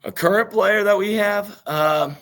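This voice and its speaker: A man with a naturally raspy voice and a Midwestern American accent, a young high school football coach giving rambling interview answers full of fillers. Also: measured pace, fairly bright tone. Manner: rambling, many fillers and restarts.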